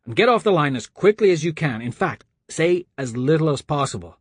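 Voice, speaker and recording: A man voicing an urgent, anxious young man, raised pitch. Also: big pitch swings, slightly rough, dry recording. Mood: urgent, pleading. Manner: pressing, hurried instructions. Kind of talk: audiobook character dialogue.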